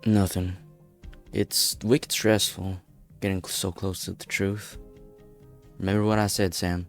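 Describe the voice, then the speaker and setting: A man voicing a terse, gruff, commanding pioneer leader in a game drama fan dub. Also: sits low, little pitch movement, unhurried. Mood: tense, guarded. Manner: terse, barking orders. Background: background music.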